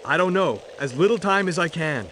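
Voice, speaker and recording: A man's voice: on the high side, expressive, a little fast, background noise, lightly acted. An actor playing a determined, protective male investigator in a video game, speaking in a firm, terse and reassuring way. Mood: calm, reassuring. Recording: music behind the voice.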